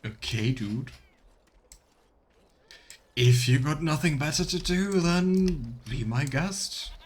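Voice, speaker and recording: A man's voice: a little high, lively intonation, slow, slightly rough. A man doing casual let's play commentary as a game streamer, chatting and reading dialogue choices aloud.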